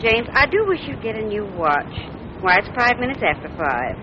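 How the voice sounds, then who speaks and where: A very noisy, muffled 1940s radio comedy recording features a middle-aged American wife and mother. Her voice is fairly high and sing-song, gentle and fond with a fussy edge. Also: big pitch swings, moderate pace. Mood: fond, chirpy. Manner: fond, mildly scolding.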